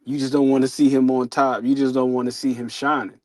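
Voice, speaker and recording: A man's voice: mid-range voice, flat delivery, a little fast, darkish tone, no echo. A man co-hosting a casual boxing talk show, speaking informally and reflectively with some swearing. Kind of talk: casual sports discussion.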